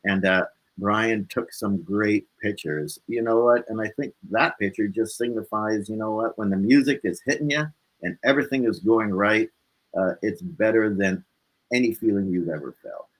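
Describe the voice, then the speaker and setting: Low-voiced man chatting casually as a guest. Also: normal speed, low-quality recording. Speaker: musician guest on a talk show. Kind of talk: casual interview chat about music.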